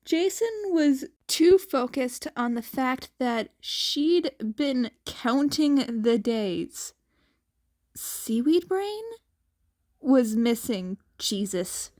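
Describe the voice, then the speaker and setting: A woman's voice: high voice, lively intonation, extremely slow, darkish tone. A woman reading fan fiction aloud as an amateur narrator, in an informal, colloquial way. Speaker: fan fiction podfic reader. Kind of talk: amateur story narration with character voices.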